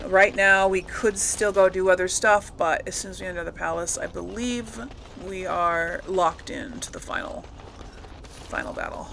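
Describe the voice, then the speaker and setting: A woman's voice: fairly low pitch, fairly even intonation, moderate pace, vocal fry, fairly bright tone, a little hiss or hum. A woman giving casual, chatty commentary as she plays through a video game. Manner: chatty, informal. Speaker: gamer, let's player.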